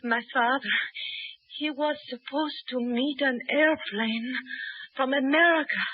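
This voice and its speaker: A woman's voice: sits high, measured pace, breathy edge. An actress playing a feverish, frightened young woman who pleads desperately, in 1950s radio drama style, on a tinny old radio broadcast recording. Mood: frantic. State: feverish and weak.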